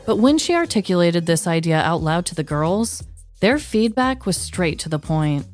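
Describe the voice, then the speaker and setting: A woman's voice: fairly low pitch, very animated, moderate pace, a little mellow, faint music behind. A woman podcast host narrating a scripted comedic story.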